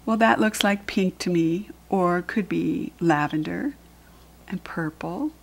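A woman's voice: fairly low pitch, takes it slowly, creaky. An older woman with an American accent reads a picture book aloud to young children, teaching as she goes with simple questions and pointing things out. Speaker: children's read-aloud host and reading teacher.

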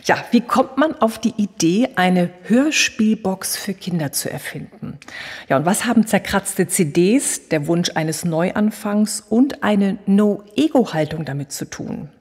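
A woman's voice: medium pitch, animated, a little slow, a little mellow, husky, long reverb tail. A woman hosting an interview podcast, giving prepared introductions and asking questions.

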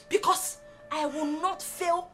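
A Nigerian-accented actress playing a scornful young wife who shouts and taunts. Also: female voice, fairly even intonation, very slow, faint music behind, exaggerated voice. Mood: mocking. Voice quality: shrill, scolding.

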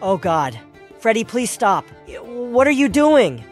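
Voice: mid-range voice, sing-song, slow pace. A performer plays a young boy in a cartoon dub. He is nervous and urgent, stammering and pleading as he speaks over music. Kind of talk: comic dub character acting.

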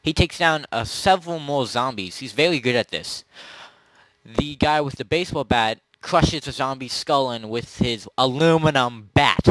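Halting male game master, dry close sound. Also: medium pitch, a bit bright, no echo, poor audio. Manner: halting, pieces scenes together as he goes. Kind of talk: improvised narration of a tabletop zombie role-play.

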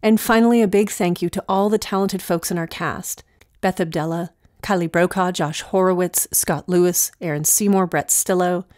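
A woman's voice: on the low side, a little mellow, no room sound, clean studio sound. A woman hosting a podcast, reading scripted thanks and announcements. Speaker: podcast producer and host.

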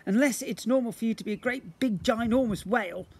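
A man's voice: very high-pitched, a little fast, breathy edge, voice pushed up, heavily acted. A man voicing a curious, cheeky young boy in a children's storybook, eager and full of exclamations. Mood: amazed. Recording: noisy outdoor handheld recording.

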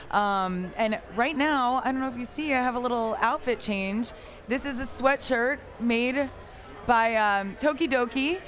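Bubbly loud woman hosting. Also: on the high side. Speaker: host. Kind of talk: live convention interview. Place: booth at a busy convention hall.

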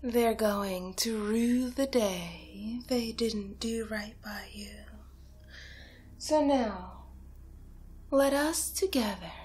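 A young woman playing a sly, vengeful witch in an ASMR roleplay, often whispering, breathy, deliberate, soothing yet menacing. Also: on the high side, darkish tone, heavily acted. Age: young adult. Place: small bedroom, indoors.

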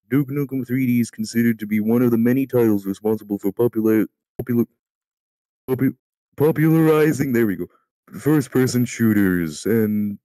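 A man reads an article aloud in a mellow, relaxed voice with a dry, close sound. He trips over words, repeats himself and swears casually as he goes. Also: mid-range voice, no echo, rough recording. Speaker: amateur reader in a group voice call. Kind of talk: reading an encyclopedia article aloud.

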